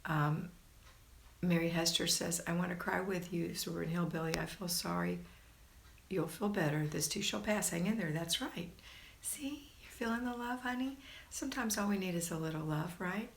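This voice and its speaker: A woman's voice: lowish voice, normal speed, vocal fry, slight background noise. A middle-aged woman chatting live and reading out comments in an encouraging, folksy manner, like a homemaking coach. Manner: encouraging, folksy, addressing listeners as hun and honey. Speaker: livestream host and homemaking coach. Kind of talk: casual live chat, reading and answering viewer comments. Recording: roomy, echoing home recording.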